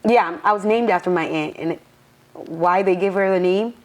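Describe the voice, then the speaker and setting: A woman's voice: on the low side, moderate pace. An educator woman talking as a podcast guest, earnest and encouraging in casual conversation. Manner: earnest, encouraging, laughs often. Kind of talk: casual interview chat.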